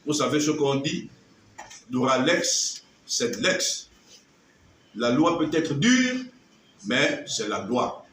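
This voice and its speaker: A man's voice: medium pitch, sing-song, a bit bright, slight background noise. Man giving an echoey political speech. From Gabon. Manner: oratorical, emphatic, measured pauses. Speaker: political movement leader. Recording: echoey, distant mic with faint ambience.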